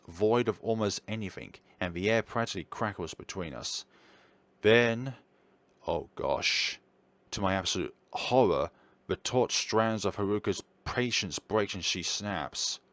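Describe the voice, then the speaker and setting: Lowish-voiced man narrating a visual novel. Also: animated, measured pace, no echo. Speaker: gameplay host reading a visual novel aloud. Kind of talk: expressive narration with casual commentary. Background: soft game music.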